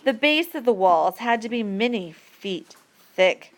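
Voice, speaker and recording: A woman reading an educational passage aloud as a teacher, with deliberate, measured phrasing for learners. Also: medium pitch, animated. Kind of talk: read-aloud educational narration.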